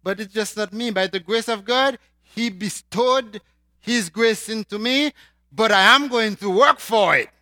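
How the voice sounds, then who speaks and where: Haitian preacher with a bright voice. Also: male voice, high-pitched, measured pace, no room sound, studio quality. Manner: swings from loud emphatic lines to quiet spoken asides. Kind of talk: sermon.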